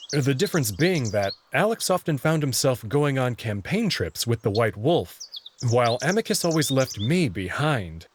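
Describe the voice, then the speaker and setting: A man's voice: medium pitch, a little hiss or hum. A man reading scripted first-person narration for a visual novel.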